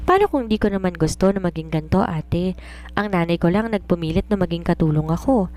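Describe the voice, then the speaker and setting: A woman's voice: fairly low pitch, expressive, a little fast, a little mellow, lightly acted. Give a naive young housemaid from a romance audiobook, acted by a woman narrator. She is curious and dreamy, asking her questions eagerly and with respect for her elder. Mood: doubtful. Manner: inquisitive, respectfully addressing an elder. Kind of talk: audiobook character dialogue.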